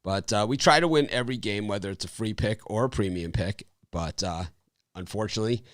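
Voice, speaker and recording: Low-voiced man hosting a betting show. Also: brisk, no echo, clean studio sound. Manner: rambling, with restarts and laughs. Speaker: sports betting show host. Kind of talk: casual live-stream chat.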